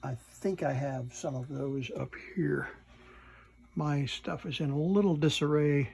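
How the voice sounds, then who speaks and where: A man at an indoor workbench gives an unscripted, hands-on repair demonstration, thinking aloud in a halting way as he works. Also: on the high side, gravelly, a little mellow, husky. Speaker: electronics repair hobbyist presenting.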